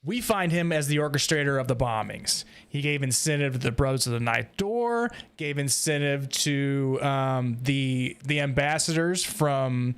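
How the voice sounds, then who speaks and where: A man hosting a podcast, casually recapping and discussing a story in an animated way. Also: mid-range voice, unhurried, hardly any pauses, clear, resonant tone. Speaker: podcast host.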